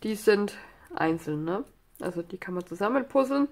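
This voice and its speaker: A woman's voice: medium pitch, lively intonation, moderate pace, creaky, a little mellow, slight background noise. A woman with a stuffy nose from a cold, chatting casually through a crafting tutorial at her desk, rambling with many fillers and asides. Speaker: craft channel host. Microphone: overhead camera filming hands on desk.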